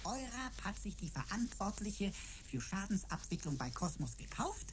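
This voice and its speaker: A woman's voice: medium pitch, very animated, normal speed, slight background noise, close to an everyday voice. A woman playing a cheeky, mocking know-it-all talking computer sidekick in a radio drama, with a theatrical manner. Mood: pert, superior.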